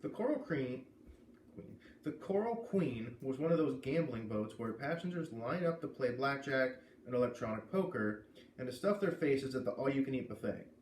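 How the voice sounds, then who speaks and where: A man's voice: medium pitch, lively intonation, moderate pace, darkish tone. A man hosting a read-aloud, narrating a storybook in a casual, conversational style. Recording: echoey room, distant mic, faint noise.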